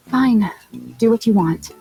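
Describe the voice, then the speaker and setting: Mellow, blunt, exasperated mechanic. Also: female voice, on the high side, slightly rough, exaggerated voice, poor audio. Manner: dry, blunt, scolding. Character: exasperated, blunt, clever young woman mechanic. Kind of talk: video game voice acting.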